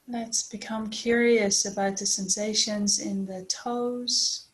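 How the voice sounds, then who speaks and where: A woman leading a guided body scan meditation as a teacher, speaking gently and unhurriedly with long pauses. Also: mid-range voice, steady tone, rough recording.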